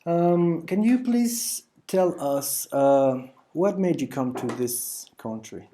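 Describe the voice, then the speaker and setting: Mellow-voiced halting male interviewer. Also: fairly high pitch, animated. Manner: hesitant, many "uh" fillers and restarts. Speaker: host and interviewer. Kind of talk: halting interview questions in two languages.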